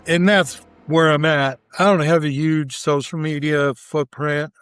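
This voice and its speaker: A man's voice: a little high, steady tone, a little slow, fairly bright tone, clean tone, dry recording. A man chatting casually as an interview guest, with a folksy, colloquial, enthusiastic manner. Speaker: interview guest, barbecue cook.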